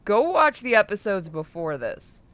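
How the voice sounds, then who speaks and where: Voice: medium pitch, sing-song, moderate pace, fairly bright tone. A streamer co-hosting a playthrough, chatting casually, swearing freely and reading game narration aloud, with game music behind. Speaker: streamer co-host reading a visual novel aloud.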